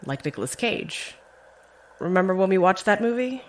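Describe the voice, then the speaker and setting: A woman playing a calm, needling mentor in an audio drama, speaking in a wry, probing way. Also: medium pitch, normal speed, close to an everyday voice.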